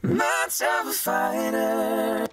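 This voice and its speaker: A woman singing a slow melodic line, processed with pitch-shifted, vocoder-like harmonies. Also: lively intonation, a bit bright, slightly rough, no echo, studio quality, faint music behind.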